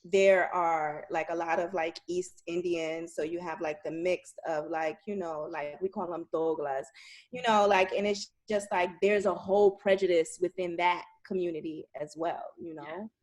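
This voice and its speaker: Woman, thirties, quick-witted co-host, slightly low voice, lively interjections. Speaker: podcast co-host. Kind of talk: casual chat.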